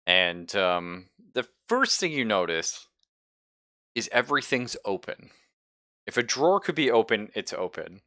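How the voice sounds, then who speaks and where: A man's voice: on the low side, big pitch swings, unhurried, bright, forceful tone, dry recording. A man improvising narration as game master of a tabletop roleplaying game, conversational and playful, on a close mic. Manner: conversational, playful, swears casually.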